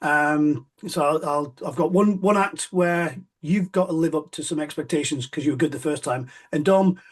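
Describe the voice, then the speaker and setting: A man's voice: fairly high pitch, normal speed. A man hosting a casual interview, friendly and rambling, with plenty of fillers. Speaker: podcast host.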